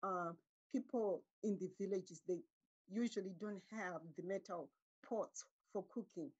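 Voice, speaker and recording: Casting: middle-aged woman from Zimbabwe, husky, measured, unhurried guest on a webcam call. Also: mid-range voice, highs cut off. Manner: calm, measured, with frequent um pauses. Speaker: guest artist. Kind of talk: conversational interview answers. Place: home room with curtains. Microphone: laptop webcam on a video call.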